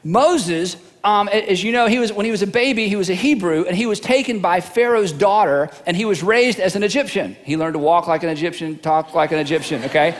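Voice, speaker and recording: A man's voice: high voice, brisk, hardly any pauses, in a large hall. A middle-aged male pastor preaching a sermon in a casual, humorous way, often repeating words as he goes.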